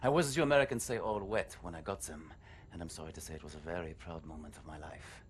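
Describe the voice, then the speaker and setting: An actor playing an earnest, protective German resistance man with a German accent in a video game cutscene, gentle in manner. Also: deep voice, fast talker, slight background noise, close to an everyday voice. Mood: earnest, regretful.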